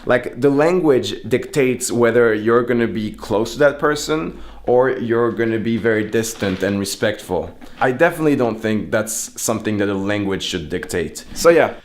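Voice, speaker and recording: A man's voice: fairly low pitch, slight background noise. A young man, a native French speaker whose English has an American-influenced French accent, chatting casually in an informal, musing and self-deprecating way. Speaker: speaker giving an informal opinion talk. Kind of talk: casual chat.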